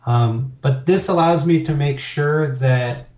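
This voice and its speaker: A man's voice: fairly high pitch, slow pace, a little mellow. Middle-aged male interview guest, candid and reflective, roomy echo. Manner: candid, reflective, rambling with fillers. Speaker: interview guest, business owner. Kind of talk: casual interview talk.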